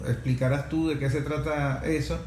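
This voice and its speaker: A man's voice: medium pitch, fairly even intonation, normal speed, a little mellow. A Venezuelan man speaking as an interviewer, explaining conversationally and repeating phrases for emphasis. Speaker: interviewer, show host. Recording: echoey room with faint noise.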